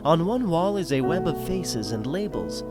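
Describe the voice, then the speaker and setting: A man's voice: on the high side, sing-song, moderate pace, over loud music. A man playing the wry, dramatic narrator of a scripted audio drama.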